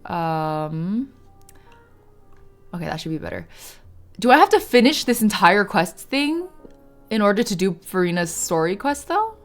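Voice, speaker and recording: Female live streamer, medium pitch, slangy off-the-cuff reactions and grumbles, game music underneath. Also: big pitch swings, measured pace, pauses often. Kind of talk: casual live stream chat with gameplay reactions. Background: game background music.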